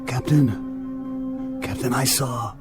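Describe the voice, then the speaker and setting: A man's voice: mid-range voice, extremely slow, over music. An actor playing a weak, dying young crewman, gasping and desperate in a radio drama. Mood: desperate, fading. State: feeble, gasping. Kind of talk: dramatic radio play acting.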